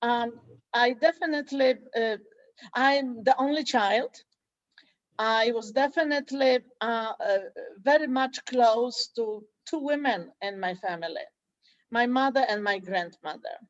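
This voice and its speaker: Non-native English-speaking woman from Poland, school director in an interview; halting delivery with frequent fillers. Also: on the high side, dry recording, dull audio. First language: Polish. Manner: hesitant, many fillers and restarts. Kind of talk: interview conversation.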